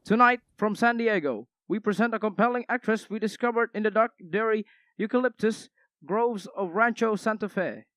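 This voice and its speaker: A man's voice: high voice, normal speed, a bit bright, no echo. A young man playing a sinister, pompous horror host who introduces a radio thriller in a theatrical manner. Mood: ominous. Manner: pompous, dramatic, snapping at times.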